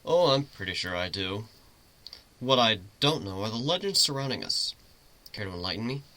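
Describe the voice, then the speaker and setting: A man playing a mysterious, all-knowing human in a story reading, speaking in a measured, self-assured and quiet way. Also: fairly low pitch, big pitch swings. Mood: smug, calm.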